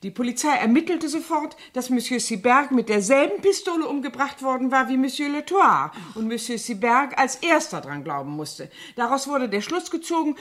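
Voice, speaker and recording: Naive-seeming, gossiping cleaning woman in a seventies radio drama; raised pitch, swooping intonation, artless dramatic storytelling. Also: a bit bright. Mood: eager, dramatic. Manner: chatty, guileless-sounding, dramatic storytelling. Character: gossipy, feignedly naive French cleaning woman, chatty and self-satisfied. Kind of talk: 1970s German radio play acting.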